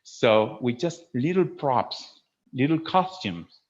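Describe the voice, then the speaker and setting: A man speaking as a teacher trainer in an informal instructional webinar, explaining in an animated way and often checking that listeners follow. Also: medium pitch, takes it slowly. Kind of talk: informal instructional presentation with acted demonstrations. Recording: dull lo-fi webinar audio.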